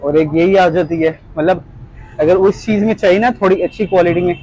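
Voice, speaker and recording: A young man giving a casual, polite sales pitch, quoting products and prices as a shop salesman. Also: brisk, mellow, low-quality recording. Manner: polite, addresses customer as sir. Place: small tiled fitness equipment shop, indoors.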